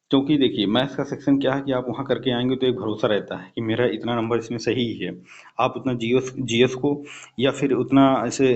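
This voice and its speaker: A man's voice: medium pitch, a little mellow. A young man explains things informally like an exam-prep coach, addressing listeners as friends and stressing his points. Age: young adult. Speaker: exam-prep YouTuber and fellow aspirant. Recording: dull lo-fi recording.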